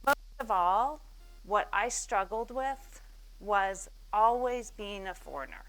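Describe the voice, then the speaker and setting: A woman's voice: medium pitch, expressive, takes it slowly, fairly bright tone, faint music behind, poor audio. A woman in her forties giving a business talk on stage, telling her story with self-mocking humor and acting out the people she quotes. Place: event stage before an audience.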